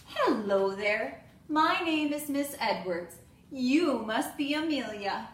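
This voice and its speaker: Lady playing a cheerful patient teacher in a kids book, slow drawn out words, echoey room. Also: high voice, expressive. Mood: welcoming. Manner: drawn-out, instructive. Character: patient, cheerful female primary school teacher. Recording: roomy echo, clear background noise.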